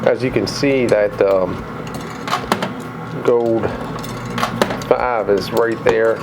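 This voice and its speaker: A man with a cold, a little raspy and tired, chatting casually through a coin pusher vlog in an arcade. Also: lowish voice, very slow, very noisy. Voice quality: slightly mumbled from a lozenge. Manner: chatty, excitable at wins. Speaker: vlogger.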